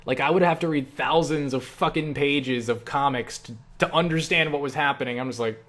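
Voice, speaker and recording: A man's voice: a little high, a little fast, fairly bright tone. Rambling, casual young man. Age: young adult. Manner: rambling, self-deprecating, swears casually. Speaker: online video creator answering viewer questions. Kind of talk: casual chatty Q&A vlog.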